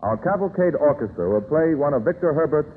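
A man's voice: on the high side, animated, fairly quick, slight background noise. A man reading formal, oratorical narration as an old-time radio announcer. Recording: tinny vintage radio broadcast.

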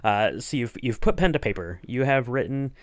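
A man's voice: mid-range voice, expressive, normal speed, no room sound. A man interviewing casually as a podcast host, with hesitant, rambling phrasing.

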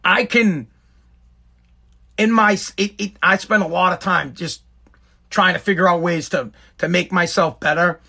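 High-voiced, fervent man. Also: measured pace, gravelly, long pauses, bright, forceful tone. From United States. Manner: emphatic, profane, repetitive. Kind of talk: impassioned monologue to viewers.

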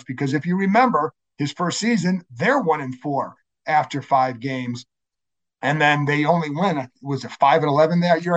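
A man with a scratchy voice hosting a sports podcast, talking casually and correcting himself as he goes. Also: a little high. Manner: conversational, self-correcting, many fillers. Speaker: podcast host. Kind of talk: solo sports podcast intro.

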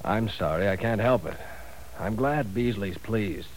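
A man's voice: on the low side, animated, a little slow, poor audio. A man playing a conscience-stricken professor in an old radio drama, bitter and tense. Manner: bitter, tense, rising to outbursts. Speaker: teacher. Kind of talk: 1940s radio acting. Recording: faint hiss of an old radio recording.

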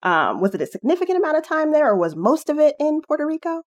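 A woman's voice: high voice, sing-song, fast. A woman co-hosting a podcast, asking questions in a casual conversational interview style.

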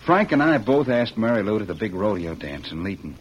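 An actor playing a wronged young cowboy ex-convict in a vintage radio western, speaking plainly and earnestly. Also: male voice, fairly low pitch, very animated, fairly quick. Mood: bitter, earnest. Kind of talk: 1940s-50s radio western acting. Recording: muffled, hissy old radio recording.

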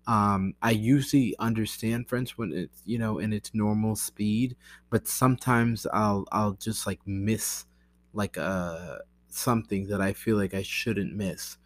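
An American man talking casually to camera in a rambling, halting way, often interrupting himself with "like" and "you know". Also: lowish voice, no room sound, clean studio sound. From United States. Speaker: language learner vlogger.

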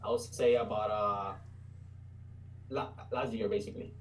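A man's voice: lowish voice, steady tone, normal speed, recorded from a distance. Hesitant young man. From Puerto Rico. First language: Spanish. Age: 20s. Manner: hesitant, searching for words. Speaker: guest trader. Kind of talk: casual interview.

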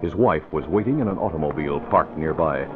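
A man's voice: very deep voice, expressive, a little fast, music in the background. A man reading scripted lines as a narrator and announcer in 1940s radio style. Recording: narrow lo-fi old radio recording.

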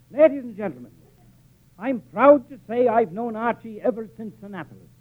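A man's voice: very high-pitched, animated, normal speed. An actor playing a dim, pompous male policeman who speechifies formally, in a 1940s radio comedy. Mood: earnest, reminiscing. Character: thick-headed, pompous male neighborhood policeman. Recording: muffled vintage radio broadcast recording.

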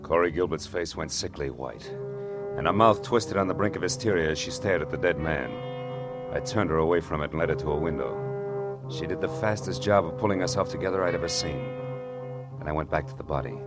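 Hard-boiled detective, deep voice, wry terse delivery, noir radio narration, clear musical backing. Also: male voice, a little fast, loud music behind the voice. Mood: grim, narrating. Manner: terse, wisecracking. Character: hard-boiled, wry, cool-headed male private detective. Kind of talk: 1940s radio acting, first-person noir narration.

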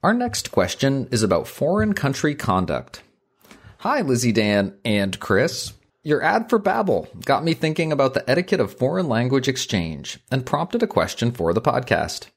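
A man's voice: mid-range voice, big pitch swings, moderate pace, husky. A man co-hosting an etiquette advice podcast, talking things through thoughtfully and reading a listener letter aloud. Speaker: podcast co-host.

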